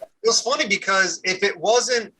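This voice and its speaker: A man's voice: high-pitched, normal speed, bright, forceful tone, slight background noise. A man in his thirties, a podcast guest chatting casually and rambling, repeating words and laughing easily. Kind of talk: casual conversation.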